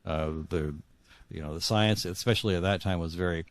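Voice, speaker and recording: Male, 60s, deep voice, dry sound. Thoughtful author guest, conversational, searches for words as he goes. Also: dry recording. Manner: earnest, halting, repeats words as he searches for phrasing. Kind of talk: reflective conversational interview.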